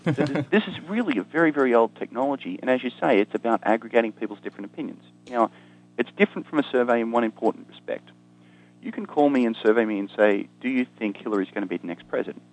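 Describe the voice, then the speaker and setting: A man's voice: medium pitch, expressive, quick pace, rough recording. An Australian man, an economist guest, explaining ideas in conversation with dry humor and frequent ums. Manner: dry self-deprecating humor, many ums and restarts.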